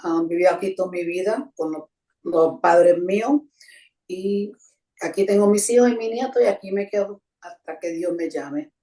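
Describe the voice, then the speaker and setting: Older woman guest, warm mellow tone, plainspoken, recorded in a roomy space. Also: lowish voice, normal speed. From Cleveland, Ohio. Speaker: interview guest. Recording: roomy sound.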